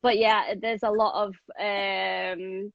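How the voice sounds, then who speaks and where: A woman's voice: medium pitch, little pitch movement, takes it slowly, gravelly, no echo, highs cut off. A woman from Thailand now living in England, chatting casually on a video call with many fillers and the odd swear word. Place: home in England.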